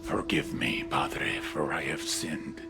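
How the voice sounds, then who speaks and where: An actor playing a brooding, menacing male cartel boss, speaking gravely and deliberately in game drama. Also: a bass voice, over music, heavily acted. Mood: brooding, penitent.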